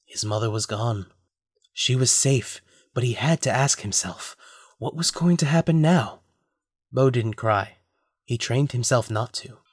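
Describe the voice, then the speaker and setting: A man's voice: mid-range voice, measured pace, breathy edge, dry recording, clean studio sound. A man narrating a scripted audio drama as a storyteller.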